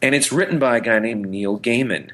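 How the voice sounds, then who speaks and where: A man's voice: mid-range voice, fairly quick, fairly bright tone. Rambling, enthusiastic man chatting casually. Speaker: podcast co-host. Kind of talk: casual fan chat.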